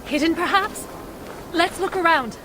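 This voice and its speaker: A woman playing a brisk, stubborn, sharp-tongued dwarf rebel in a video game, speaking bluntly and confidently. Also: very high-pitched, noisy background. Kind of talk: conversational video game acting.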